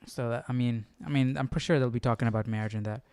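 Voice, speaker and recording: Guy musing out loud on a bible study stream, clean smooth voice, mid pitch, no room echo. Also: fairly even intonation, rapid-fire. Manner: informal, musing, repeats himself. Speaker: host of a Bible study livestream. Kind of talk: casual chat, thinking aloud.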